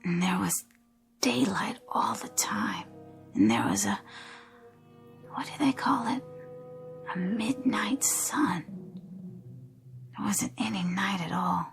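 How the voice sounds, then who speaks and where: A woman playing a frail, dreamy, dazed woman in a radio drama, her voice breathy and whispery. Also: medium pitch, expressive, slow, long pauses, faint music behind. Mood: dreamy, relieved.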